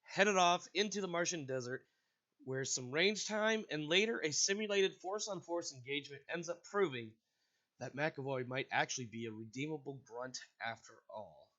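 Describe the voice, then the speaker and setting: A man's voice: fairly high pitch, normal speed, bright, forceful tone. Stuffy-nosed man running a tabletop game. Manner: rambling, casual, with frequent restarts. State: stuffy and congested. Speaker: game master. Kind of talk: hosting and narrating a tabletop game. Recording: dull, lo-fi voice chat audio.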